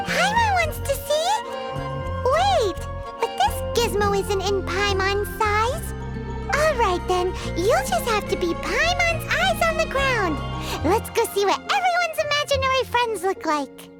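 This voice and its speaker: A woman's voice: very high-pitched, very animated, measured pace, exaggerated voice. A woman voicing a tiny, chatty and nosy female fairy companion in a video game, who talks about herself in the third person. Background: light game music.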